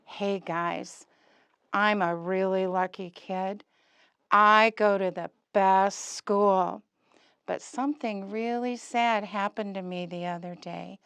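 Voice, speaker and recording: An earnest young boy puppet voiced by a woman speaks slowly to small children in simple words. The puppet-show storytelling is childlike and plain, with a dry sound free of room echo. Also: medium pitch, steady tone, fairly bright tone, clear, resonant tone. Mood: earnest, sad.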